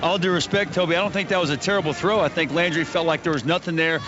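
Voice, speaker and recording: A man's voice: fairly high pitch, fairly even intonation, fast, music in the background. A man with an American accent giving coaching-style breakdowns as a sideline analyst on a live football broadcast. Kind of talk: live analytical sports commentary. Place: outdoor stadium sideline. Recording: dull lo-fi broadcast, noisy stadium.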